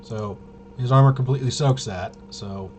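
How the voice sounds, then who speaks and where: A man thinking aloud through a tabletop game walkthrough, casually muttering to himself in a halting way and trailing off. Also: mid-range voice, darkish tone, slight background noise. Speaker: solo tabletop RPG player demonstrating gameplay.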